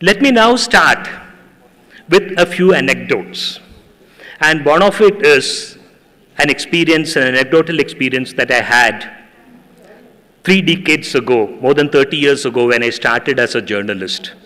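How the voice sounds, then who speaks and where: A middle-aged man whose first language is Tamil, giving an earnest, measured speech as a guest speaker at a ceremony. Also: mid-range voice, bright, forceful tone. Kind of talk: formal speech at an event. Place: hall at a public ceremony. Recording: echoey hall with long reverb.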